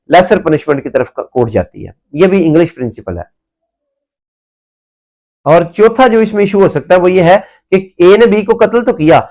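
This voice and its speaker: A man with a Pakistani accent and a notably high voice delivers an explanatory lecture. He works through his points methodically, restating them in other words. English legal terms are mixed into his speech throughout. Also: a little mellow. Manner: methodical, repeats and rephrases points. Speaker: law lecturer.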